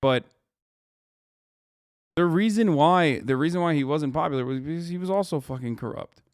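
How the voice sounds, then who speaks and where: A man's voice: on the high side, normal speed, smooth, no room sound, studio quality. A man streaming live, reacting to a news video with heated, profane rants and emphatic repetition.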